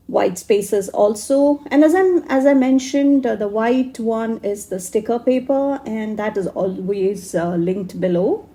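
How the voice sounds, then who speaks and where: A woman crafting YouTuber, hoarse from a cold, narrating a journaling tutorial slowly with many fillers and restarts. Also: on the high side, mellow.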